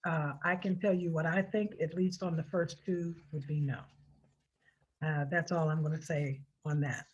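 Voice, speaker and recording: A woman's voice: low-pitched, steady tone, moderate pace, a little mellow, slightly rough, dull audio. An American woman giving informal, step-by-step public instructions in an emphatic manner, repeating key points to stress them. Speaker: presenter of a voter instruction video.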